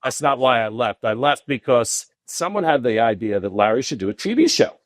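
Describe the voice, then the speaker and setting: A middle-aged man, a podcast guest telling long animated anecdotes full of restarts. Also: a little fast, dry recording, clean studio sound. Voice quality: deep voice. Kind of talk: casual storytelling interview.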